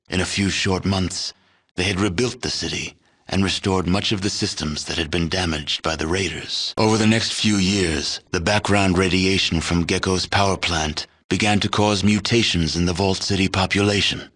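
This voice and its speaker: Game narrator, a grave, world-weary wasteland storyteller. Low-pitched, solemn, measured delivery for scripted epilogues. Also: male voice, fairly bright tone.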